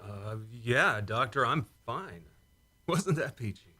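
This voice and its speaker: A man's voice: medium pitch, lively intonation, slow pace, bright, forceful tone, exaggerated voice. A man playing a wry starship commander at the controls in a science fiction radio play. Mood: dazed, sarcastic. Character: wry male second-in-command starship pilot.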